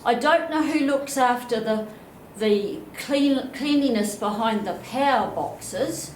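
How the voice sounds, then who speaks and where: A woman in her seventies with a New Zealand accent, asking a blunt, indignant question from the floor at a public meeting on a handheld mic. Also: a little high. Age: 70s. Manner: blunt, indignant, slow and emphatic. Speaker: audience member asking a question. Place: chapel hall. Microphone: handheld microphone. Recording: echoey hall, faint room noise.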